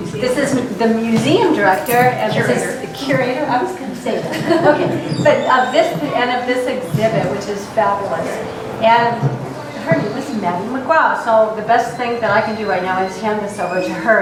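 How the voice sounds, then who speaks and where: A hoarse older woman speaking off the cuff as a guest host, her voice straining and fading. She sits rather high, and she is heard from a distance in a big, echoing museum hall. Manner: apologetic, chatty and unscripted. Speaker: guest host of an art walk. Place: large indoor museum gallery. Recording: echoey hall, voice from afar.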